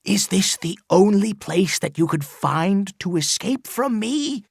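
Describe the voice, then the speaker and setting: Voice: medium pitch, expressive, takes it slowly, vocal fry, breathy edge, no room sound, studio quality, pitched above the performer's own voice, exaggerated voice. A storyteller voicing a scheming old male physician who pleads and sneers in an urgent, hissing whisper. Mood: mocking.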